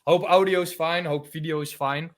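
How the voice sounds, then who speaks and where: A man's voice: fairly high pitch, fairly even intonation, moderate pace. A man in his twenties from the Netherlands with a Dutch accent, co-hosting a casual history podcast in a loose, chatty way with many fillers. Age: 20s. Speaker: podcast co-host. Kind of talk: casual chat.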